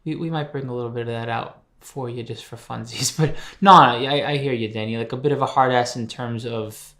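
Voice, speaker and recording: A man's voice: medium pitch, steady tone, fairly quick, fairly bright tone. A man co-hosting a podcast, chatting casually with playful, self-mocking jokes. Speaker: podcast co-host.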